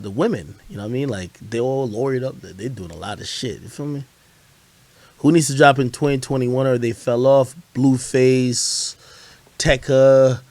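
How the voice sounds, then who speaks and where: A man's voice: mid-range voice, no echo. Man chatting casually on a livestream. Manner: slangy, profane, repeats phrases and restarts sentences. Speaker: live streamer and hip-hop commentator. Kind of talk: casual livestream chat answering viewer questions.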